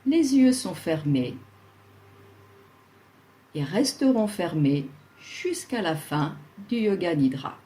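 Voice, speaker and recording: A woman leading a guided yoga relaxation, speaking soothingly and leaving long pauses between short instructions. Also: lowish voice, sing-song, dark tone.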